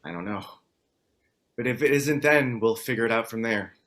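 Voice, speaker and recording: A man's voice: medium pitch, moderate pace, fairly bright tone, close to an everyday voice. A man acting a blunt, decisive survivor who takes charge with urgency, in a table read of a screen drama. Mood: urgent, guarded.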